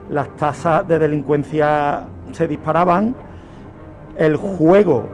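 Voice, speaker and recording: Male radio storyteller, Castilian Spanish accent, high-pitched, unhurried and explanatory, pausing deliberately. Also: darkish tone. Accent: Spanish, from Spain. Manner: explanatory, rhetorical questions, deliberate pauses. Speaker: radio show host. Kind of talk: history storytelling, semi-improvised radio talk.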